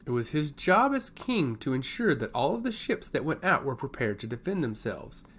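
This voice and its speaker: A man narrating a scripted fantasy story in a measured, dramatic storytelling manner. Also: mid-range voice, very animated, fairly bright tone, husky.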